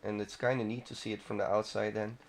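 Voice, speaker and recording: A man's voice: fairly low pitch, level tone, quick pace, vocal fry. A man hosting a gaming video, giving casual, unscripted walkthrough commentary in a rambling, self-interrupting manner.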